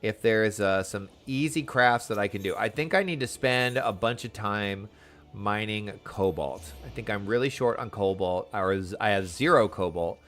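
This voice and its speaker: A man's voice: on the low side, normal speed, a bit bright. A man chatting casually and enthusiastically as he streams a video game, using slang and talking to viewers.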